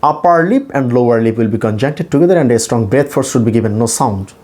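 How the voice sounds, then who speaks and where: A man with a Bangladeshi accent, a native Bengali speaker, teaching English pronunciation in a deliberate, repetitive, demonstrative instructional style. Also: mid-range voice, expressive, darkish tone.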